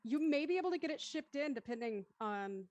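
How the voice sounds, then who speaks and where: A woman's voice: high voice, lively intonation, a little fast, a little mellow, dry recording. An American woman chatting casually on a panel, talking in an explanatory way. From United States. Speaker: talk show panelist.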